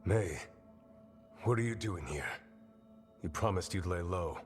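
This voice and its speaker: An actor playing a steady, concerned resistance fighter in a fantasy video game, with a measured, protective way of talking. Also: male voice, deep voice. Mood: serious. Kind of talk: dramatic video game acting. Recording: loud music behind the voice.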